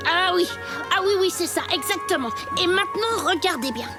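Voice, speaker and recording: Show-off little cartoon cub, really high voice, impatient, loud music under it. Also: female voice, animated, a little fast. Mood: proud. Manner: childlike, cocky, blustering. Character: boastful, impatient young animal cub. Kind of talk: animated series dubbing. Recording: voice over loud background music.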